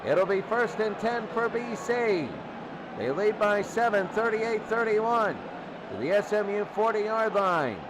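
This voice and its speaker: A man calling football play-by-play for radio in an excitable manner, often repeating phrases. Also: high-pitched, measured pace. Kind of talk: radio sports commentary. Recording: loud noisy stadium crowd behind. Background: roaring crowd.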